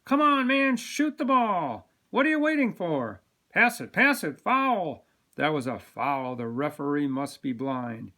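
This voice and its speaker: A man voicing excitable, heckling men shouting at a game in a barbershop for a storybook. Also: mid-range voice, unhurried, hardly any pauses, lightly acted. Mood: excited, indignant. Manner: loud, exclamatory, heckling.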